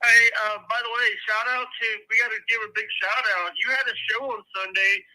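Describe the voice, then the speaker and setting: An eager caller stammers and rambles over a tinny phone line. His voice is high for a man and very bright, almost piercing. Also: steady tone, brisk. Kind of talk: phone call-in chat.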